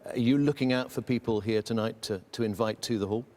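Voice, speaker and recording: A man presenting a live concert broadcast from a concert hall, speaking in a measured, formal way. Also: mid-range voice, dark tone.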